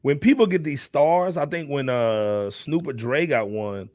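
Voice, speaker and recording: A man giving casual hip hop commentary as a panelist, animated and slangy, often checking that listeners follow him. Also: medium pitch, a little slow, dry recording. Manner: animated slangy talk with repeated check-in phrases. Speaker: talk show panelist.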